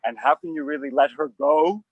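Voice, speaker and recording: A man hosting an outdoor acting coaching session, animated and emphatic, with frequent restarts. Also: on the high side, moderate pace, no echo, narrow, tinny audio.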